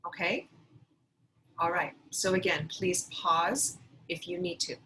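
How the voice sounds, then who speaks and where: Female teacher for children's read-aloud lesson: slow, deliberate, direct address, slight noise in the recording. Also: fairly low pitch, pushed and bright, low-quality recording. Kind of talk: instructional read-aloud for pupils.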